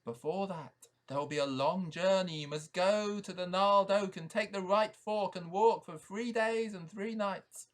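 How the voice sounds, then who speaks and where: Man putting on a shrill, hooting storybook owl. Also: fairly even intonation. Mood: urgent, insistent. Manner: shrill drawn-out hoots, insistent instructions. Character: hooting owl, urgent herald of quests.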